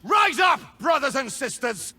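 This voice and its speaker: Fiery rebel mage, high forceful voice. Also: male voice, sing-song, unhurried, full character voice. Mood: rousing defiance. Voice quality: intense, forceful delivery. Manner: theatrical rallying cries and taunts. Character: passionate rebel mage man, defiant, rousing, bitter. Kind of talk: video game character voice lines.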